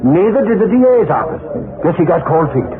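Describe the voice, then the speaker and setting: An actor playing an excitable, stubborn Irish newspaper reporter in a blustering 1940s radio style. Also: male voice, high-pitched, brisk, a crowd in the background. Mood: earnest. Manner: blustering, indignant. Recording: scratchy, noisy, narrowband 1940s radio recording.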